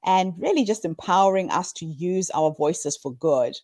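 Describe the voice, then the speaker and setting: A woman in her fifties from Zimbabwe speaks with a lowish voice, earnest and upbeat as she answers interview questions. The sound is dry and close, with no room around it. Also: expressive, moderate pace, no echo. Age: 50s. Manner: earnest, upbeat storytelling with warm laughs. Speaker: guest, founder of a women's story platform.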